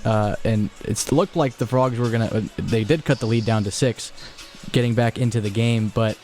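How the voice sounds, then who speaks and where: A man's voice: mid-range voice, moderate pace, a little mellow, soft background music. A young man hosting college radio, chatting between songs and running a postgame show. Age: young adult. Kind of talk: radio DJ patter and postgame talk.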